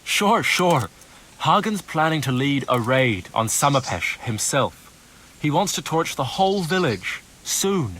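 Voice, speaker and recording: An actor playing an ingratiating, glib turncoat informant in a video game cutscene. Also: male voice, fairly high pitch, very animated, a little slow, a bit bright, a little hiss or hum, low-quality recording. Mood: wheedling. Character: slippery male turncoat informant bargaining for his freedom.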